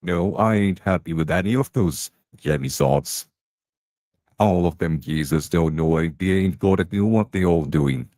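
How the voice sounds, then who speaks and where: Bloke doing a canteen tea lady, gossipy, real deep voice, dry sound. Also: measured pace, darkish tone, dry recording. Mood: grumbling. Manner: gossipy, chatty, blunt slang.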